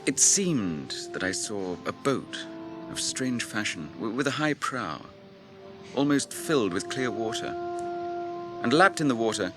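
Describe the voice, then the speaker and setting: An English actor playing a stern, noble warrior captain in a radio drama, with a grave, deliberate, declamatory delivery. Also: male voice, mid-range voice, very animated, music in the background. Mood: haunted, grieving. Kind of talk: BBC radio drama acting.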